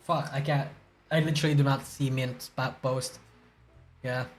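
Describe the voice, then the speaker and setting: A man's voice: medium pitch, flat delivery, normal speed, soft background music. A young man streaming live, rambling and swearing as he reacts to news, groggy from a hangover and stuttering. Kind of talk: casual livestream chat reacting to news.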